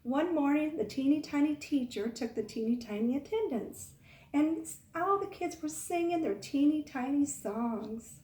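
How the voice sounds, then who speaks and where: A woman reading a picture book aloud to young children, expressive, pausing to ask the listeners questions. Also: sits high. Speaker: children's storytime reader. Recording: echoey room, far from mic.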